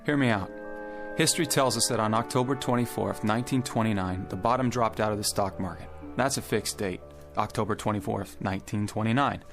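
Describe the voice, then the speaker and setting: An actor playing a logical, skeptical gentleman who argues in an exacting way, in a radio play. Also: on the low side, fairly quick, lightly acted. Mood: skeptical, reasoning. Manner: reasoning, exacting. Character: skeptical, logical male gentleman arguing precisely. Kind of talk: radio drama acting. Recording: music under the dialogue. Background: music.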